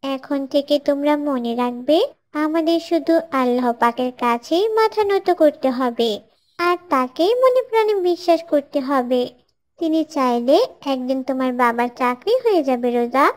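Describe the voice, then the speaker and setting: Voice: high-pitched, moderate pace, close to an everyday voice. A performer voicing a pious little schoolgirl in a cartoon, earnestly teaching others in a careful, preachy way. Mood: solemn, instructive. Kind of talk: scripted moral dialogue for an Islamic cartoon.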